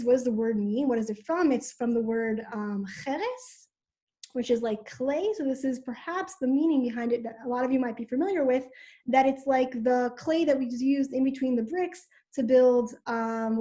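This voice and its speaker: A woman's voice: high-pitched, mellow. A woman teacher who grew up in Israel, explaining informally on a video call with many ums. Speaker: middle school teacher.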